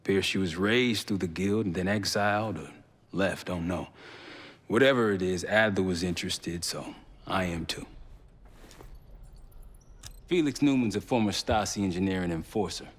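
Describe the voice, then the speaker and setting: An actor with an American accent playing a streetwise, level-headed intelligence operative in a video game, talking casually. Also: male voice, deep voice, normal speed, pauses often, slightly rough, long reverb tail. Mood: measured. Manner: casual, colloquial. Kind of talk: naturalistic video game dialogue.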